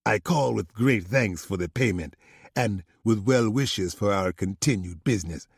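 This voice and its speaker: A raspy, jovial crime boss with a thick Mexican Spanish accent flatters his business partner, as a male narrator puts him on. His husky voice lifts a little above the narrator's. The audiobook recording is dry and close. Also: lowish voice, measured pace, no echo, full character voice.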